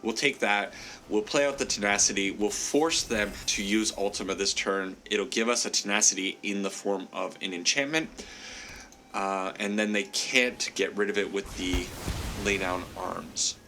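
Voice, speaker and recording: A man's voice: lowish voice, moderate pace, pushed and bright, slight background noise. A man with a congested, stuffy voice casually commenting on a card game he is playing, thinking aloud, laughing at himself and apologizing to his opponent. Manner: chatty, self-deprecating, thinks aloud with frequent laughs. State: congested from a cold. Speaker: gameplay commentator.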